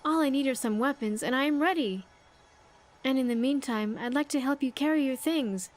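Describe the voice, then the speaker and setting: A woman's voice: sits high, moderate pace, natural voice. A woman playing an earnest, grateful young survivor companion in a video game, speaking in careful, formal phrases. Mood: relieved, grateful. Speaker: companion character in a game mod. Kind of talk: scripted game dialogue.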